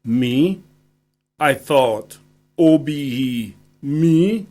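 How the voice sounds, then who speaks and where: A man's voice: mid-range voice, lively intonation, extremely slow, mellow, faint music behind. A man chatting casually as a guest on the radio, often adding tag phrases. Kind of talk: casual radio conversation with performed dub-poetry libation.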